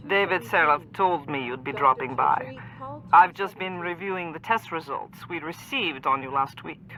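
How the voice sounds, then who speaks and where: An actress playing a composed, formal doctor who explains things in measured clinical terms in a video game cutscene. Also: female voice, deep voice, bright, forceful tone, rough recording. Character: composed clinic doctor briefing an augmented patient.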